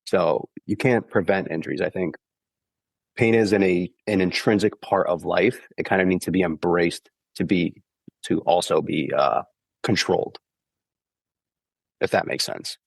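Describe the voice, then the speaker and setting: A man's voice: sits low, a little slow, vocal fry, slightly rough, dry recording. A man recovering from the flu, sounding congested, explaining running injuries patiently on a podcast and often checking in with "okay?". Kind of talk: casual explanatory podcast talk.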